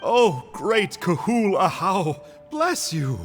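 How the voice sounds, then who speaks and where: A talkative, cheeky spy of a villainous order in a video game. His voice is fairly high and very lively, with breezy, mock-polite theatrical phrasing over faint music. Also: takes it slowly, heavily acted. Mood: chirpy, teasing. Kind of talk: animated video game voice acting.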